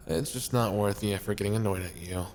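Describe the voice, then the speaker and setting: A man playing a tall, hot-tempered, gruff yandere in an ASMR roleplay, grumbling, sardonic and threatening. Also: fairly low pitch, close to an everyday voice. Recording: clear background noise, ambience.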